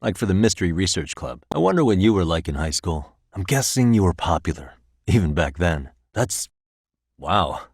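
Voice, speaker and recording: A man's voice: deep voice, sing-song, moderate pace, slightly rough, no echo, heavily acted. An actor in his thirties with an American accent playing an easygoing, wry private detective in casual video game dialogue. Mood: curious, relaxed. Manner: casual, dry wry humour.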